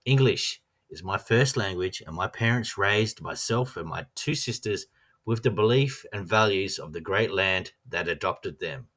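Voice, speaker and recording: Bright-voiced Australian man reading earnestly. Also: sits low, unhurried. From Western Australia. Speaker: trainee teacher. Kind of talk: scripted personal narration.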